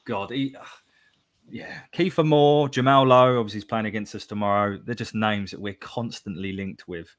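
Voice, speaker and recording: A man's voice: fairly low pitch, moderate pace. Chatty man hosting live. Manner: upbeat, reads out chat messages with laughs. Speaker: podcast host. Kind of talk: live football podcast chat.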